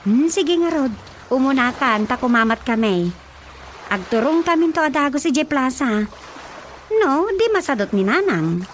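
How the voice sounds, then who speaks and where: A chatty daughter with a very high voice and an Ilocano accent, lively in radio drama comedy style. There is faint noise behind the voice. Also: female voice, moderate pace, pauses often.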